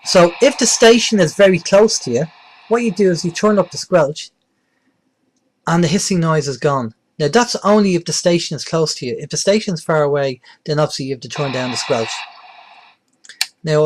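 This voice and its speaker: Middle-aged male presenter, slightly high-pitched voice, plain-spoken delivery for an informal hands-on how-to. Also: a little hiss or hum. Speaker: presenter of a beginners' radio tutorial. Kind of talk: informal step-by-step tutorial.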